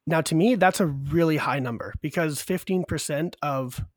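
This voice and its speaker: A man's voice: a little high, moderate pace, no echo. A man co-hosting a podcast with a cold, chatting casually and walking through survey numbers in an upbeat way. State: has a cold. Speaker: podcast co-host. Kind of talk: casual chat.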